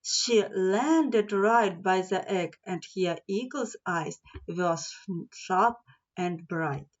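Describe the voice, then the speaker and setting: A woman narrating a scripted children's story as an audiobook reader, deliberate and expressive. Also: mid-range voice, highs cut off.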